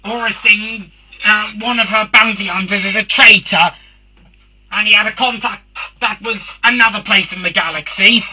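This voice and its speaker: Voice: medium pitch, fairly even intonation, normal speed, bright, forceful tone, breathy edge, poor audio. Harsh, buzzing Dalek robot. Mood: explaining. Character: Dalek, shrill robotic alien warrior hosting a review show. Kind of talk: fan comedy review show in character.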